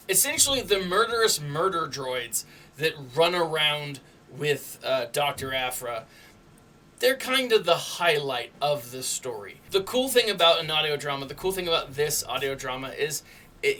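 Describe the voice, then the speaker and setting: Male vlog host, higher-pitched and forceful, chatty and opinionated, recorded a little off the mic. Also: distant mic. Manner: emphatic, conversational, repeats "right?" as a tag. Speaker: YouTube host and reviewer. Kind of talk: casual opinionated commentary to viewers.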